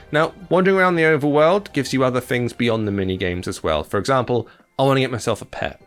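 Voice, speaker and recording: A man presenting games with casual gameplay commentary in a chatty, informal, slangy manner. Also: medium pitch. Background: music.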